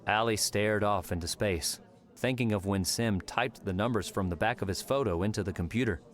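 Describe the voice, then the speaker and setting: A man narrating a fiction audiobook in a measured storytelling style. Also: fairly low pitch. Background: soft background music.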